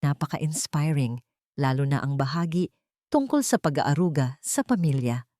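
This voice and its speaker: A woman voicing a calm, defiant wronged wife who speaks in a poised, pointed way in an audio drama. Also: sits low, normal speed, dry recording, studio quality. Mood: sarcastic, composed. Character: calm, defiant wronged young wife confronting her enemy.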